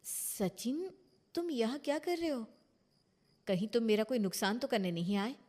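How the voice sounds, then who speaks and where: A woman's voice: on the high side, big pitch swings, normal speed, mellow. A woman voicing a wronged, defiant young wife and mother in a cartoon moral story, indignant and emphatic. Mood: suspicious, challenging.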